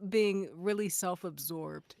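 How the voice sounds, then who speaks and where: A woman's voice: fairly low pitch, slow, creaky, a little mellow, no room sound, studio quality. A woman co-hosting a casual fan discussion on a podcast after-show.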